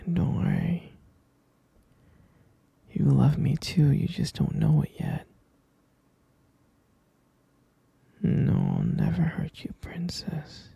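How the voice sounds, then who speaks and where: A man playing an obsessive, lovesick captor in a whispered ASMR roleplay, tender yet menacing, with a breathy, husky delivery and coaxing, possessive endearments. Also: on the high side, wildly swooping pitch, takes it slowly, mellow, no room sound, heavily acted. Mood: soothing, obsessive.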